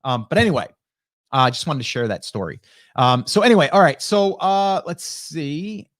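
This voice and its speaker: Higher-pitched man chatting casually on livestream. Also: lively intonation, moderate pace, a bit bright, no echo, studio quality. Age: late 40s. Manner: conversational, greets viewers by name, rhetorical check-ins. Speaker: host, online marketing coach. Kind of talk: casual live stream chat with viewers. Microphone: close broadcast dynamic microphone.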